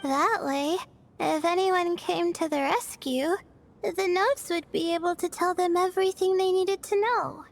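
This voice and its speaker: A woman voicing a gentle, self-doubting young female student in a video game, hesitant and apologetic. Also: very high-pitched, unhurried, gravelly, full character voice. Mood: thoughtful. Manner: hesitant, apologetic, trailing and stammering. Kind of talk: English video game voice acting. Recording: faint music behind the voice.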